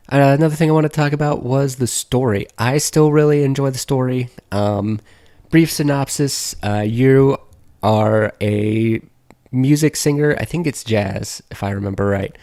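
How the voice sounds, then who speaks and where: Hoarse male game host, mid-pitched, rambling conversational delivery, dry recording. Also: a little mellow, no room sound. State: hoarse from allergies, losing his voice. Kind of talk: casual game review voice-over.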